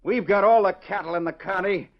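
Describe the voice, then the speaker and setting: A man's voice: high-pitched, fairly quick, lightly acted. A man playing an angry, stubborn, blustering cattle boss in a 1940s western radio drama. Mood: commanding, infuriated. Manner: blustering, commanding, folksy western phrasing. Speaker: actor. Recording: dull, lo-fi old radio recording.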